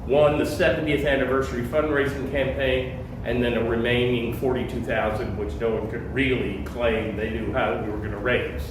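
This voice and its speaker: A man, a church senior warden, answering parish questions in a halting, conversational way. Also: medium pitch, little pitch movement. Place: church meeting room. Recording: echoey room, distant mic, noise.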